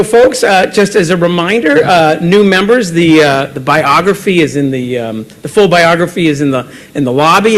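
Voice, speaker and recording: A man with a notably high voice runs a club meeting, thanking speakers and leading the room in a game. He sounds upbeat and encouraging, with plenty of "uh"s as he goes. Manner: upbeat, encouraging, with frequent fillers. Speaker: meeting emcee.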